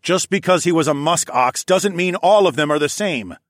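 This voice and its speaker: A man's voice: mid-range voice, expressive, a little fast, fairly bright tone, no room sound, clean studio sound, voice pushed up, lightly acted. A man playing a defiant, sardonic male hero who argues and snarls in a fantasy audiobook. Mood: sardonic, firm. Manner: snarling, mocking, argumentative.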